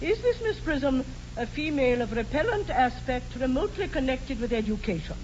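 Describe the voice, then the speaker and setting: A woman's voice: high voice, big pitch swings, moderate pace, a little mellow. A woman playing a pompous, domineering old aristocratic aunt with an upper-class English accent in a 1940s radio comedy. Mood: imperious. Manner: pompous, emphatic, grandly disapproving. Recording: dull, noisy 1940s radio broadcast.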